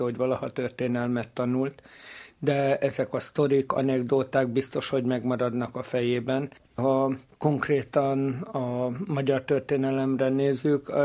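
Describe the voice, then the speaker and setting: A man's voice: medium pitch, darkish tone. A man, a history teacher explaining things through anecdotes in an interview.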